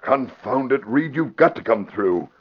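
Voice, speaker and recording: An American actor playing a blustering, excitable middle-aged police commissioner in 1940s radio style, given to exclaiming and stammering. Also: male voice, medium pitch, a little slow, slightly rough. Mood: exasperated, urgent. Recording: dull vintage radio recording.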